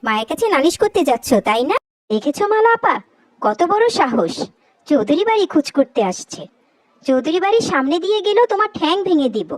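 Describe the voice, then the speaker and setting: Woman, high lively cartoon voice, quarrelsome and scolding, dry studio sound. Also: fairly quick, dry recording, rough recording. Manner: scolding, quarrelsome sisterly delivery. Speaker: voice actor for several female cartoon characters. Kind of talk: dubbed cartoon dialogue.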